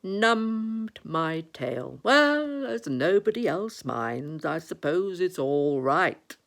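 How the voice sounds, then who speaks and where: A woman's voice: lowish voice, big pitch swings, gravelly, heavily acted. A woman voicing a gloomy old male donkey who grumbles and complains mournfully in a children's storybook. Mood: gloomy, grumbling. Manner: slow, mournful, complaining, muttering.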